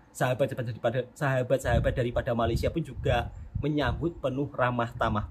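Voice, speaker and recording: A man's voice: medium pitch, noisy background. A middle-aged man from Indonesia hosting a reaction video, giving an earnest, repetitive wrap-up to his viewers. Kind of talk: reaction commentary and closing address to viewers.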